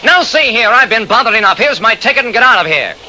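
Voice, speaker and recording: A man playing an indignant, belligerent film director in a vintage radio mystery. His curt speech is pitched high and forcefully bright, on a faintly noisy recording. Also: lively intonation, quick pace, exaggerated voice. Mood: irritated. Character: irritated, belligerent male film director cornered as suspect. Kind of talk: old-time radio drama acting.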